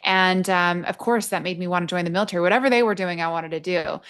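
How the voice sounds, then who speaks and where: A woman's voice: on the low side, fairly even intonation, quick pace, fairly bright tone, smooth. A middle-aged woman from England with an American accent, telling personal stories candidly and conversationally as an interview guest. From Nottingham, England. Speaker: podcast guest, army veteran working in HR.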